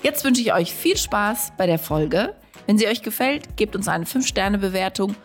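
A woman's voice: medium pitch, expressive, moderate pace. Woman, podcast host, studio, friendly, explains for listeners, faint music. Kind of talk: interviewing guests, scripted intro.

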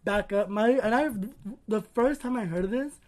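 A man co-hosting a podcast in casual chat, expressive and quick to laugh. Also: sits high. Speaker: podcast co-host.

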